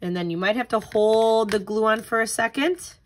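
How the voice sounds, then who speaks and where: A woman's voice: fairly high pitch, moderate pace. A woman tutor reading aloud and giving simple step-by-step instruction for young children. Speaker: tutor reading a picture book and leading a craft.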